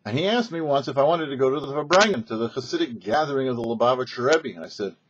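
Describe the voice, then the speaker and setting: A man's voice: mid-range voice, animated, fast, slightly rough. An American man hosting and narrating a religious story in an anecdotal way, acting out bits of dialogue. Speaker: host and storyteller. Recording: slightly dull lo-fi recording.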